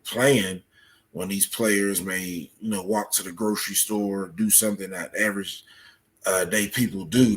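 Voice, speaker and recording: Male podcast guest, lowish pitch, measured and analytical, explanatory conversational delivery. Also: a bit bright. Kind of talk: explanatory interview talk.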